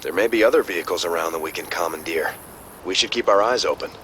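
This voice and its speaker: A male actor plays an earnest second-in-command in a video game. He briefs formally in a measured, fairly low voice over clear background noise. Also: close to an everyday voice. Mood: businesslike.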